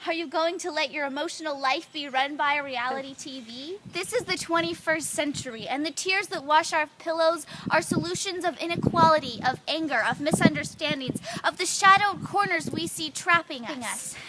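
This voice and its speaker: A woman's voice: high-pitched, normal speed, few pauses, noisy background. A young woman reciting slam poetry in an earnest, rhythmic way, leaning on repeated phrases. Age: young adult. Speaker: student poet.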